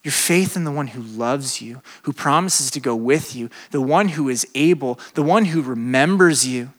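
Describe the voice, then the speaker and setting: A young adult man, a pastor with a slight speech impediment, preaching a lively sermon to students, swinging from loud bursts to hushed stretches. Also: mid-range voice, normal speed. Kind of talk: sermon to a college crowd.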